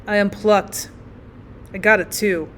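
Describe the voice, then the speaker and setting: A woman's voice: medium pitch, steady tone, a little hiss or hum, close to an everyday voice. A woman voicing a miserable, flustered thirteen-year-old schoolboy who stammers through his words in a story. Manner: stammering, halting.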